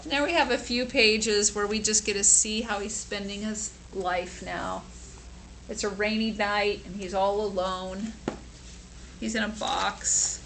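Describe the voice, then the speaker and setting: Female storybook reader, bright voice, slow and expressive, sympathetic asides, slight background noise. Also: mid-range voice, low-quality recording. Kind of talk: expressive children's read-aloud with informal asides.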